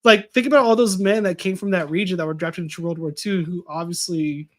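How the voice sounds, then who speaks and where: Man from the US, slightly higher-pitched, chatty podcast guest who rambles, voice a bit worn. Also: fairly even intonation, brisk, fairly bright tone. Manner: rambling, with many fillers and restarts. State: losing his voice a little. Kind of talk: casual conversation.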